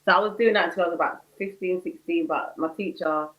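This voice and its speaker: A woman with a raspy voice, a guest singer telling chatty stories in a casual podcast interview and laughing at herself. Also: on the low side, quick pace, fairly bright tone, rough recording. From United Kingdom.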